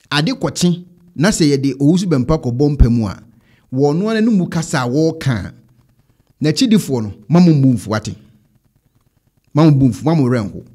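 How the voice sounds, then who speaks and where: Husky, animated Ghanaian man commenting. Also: on the high side. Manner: emphatic, repeats phrases for effect. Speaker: online commentary show host. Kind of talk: opinionated reaction commentary. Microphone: studio microphone close in front.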